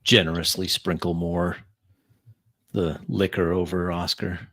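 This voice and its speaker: Male, low and forceful voice, deliberate first-person tabletop roleplay narration. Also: little pitch movement. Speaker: player in a tabletop roleplaying game.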